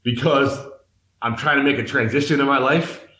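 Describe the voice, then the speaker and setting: A man's voice: mid-range voice, fairly even intonation, moderate pace, sharp tone. A man in his forties from Massachusetts, candidly telling his life story as an interview guest, earnest and searching, often restarting his sentences. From Massachusetts, United States. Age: 40s. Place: a room in Mexico. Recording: echoey room.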